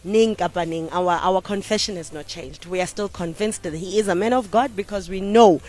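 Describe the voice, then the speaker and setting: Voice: mid-range voice, moderate pace, a little mellow. A church supporter from South Africa giving a fervent testimony.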